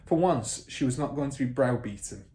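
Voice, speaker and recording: A man, a teacher, narrating a children's story aloud in an expressive way. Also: medium pitch, fairly quick, reverberant, slight background noise.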